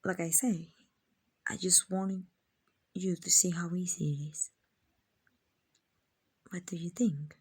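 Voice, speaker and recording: A woman playing a kind, doting vampire owner in an ASMR roleplay, soft and often whispering, gently coaxing and reassuring. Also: on the low side, fairly even intonation, takes it slowly, pauses often, slightly rough, exaggerated voice. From Mexico. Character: kind, doting, genteel female vampire owner, soothing and possessive.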